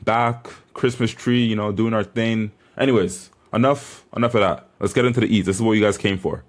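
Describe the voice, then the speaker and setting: Low-voiced young man, slangy and hyped. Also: steady tone, a little fast. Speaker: bodybuilder vlogger. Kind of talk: casual chat to camera.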